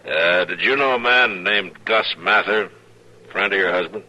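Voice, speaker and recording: An actor playing a steady, laconic Old West marshal with an American accent, measured, polite and probing, in an old radio drama. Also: male voice, sits low, exaggerated voice. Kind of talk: old-time radio western acting. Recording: noisy old radio recording.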